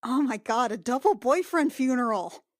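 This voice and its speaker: Woman player in a tabletop game, highish animated voice, playful mock exasperation, close dry sound. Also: moderate pace, dry recording. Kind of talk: casual game banter.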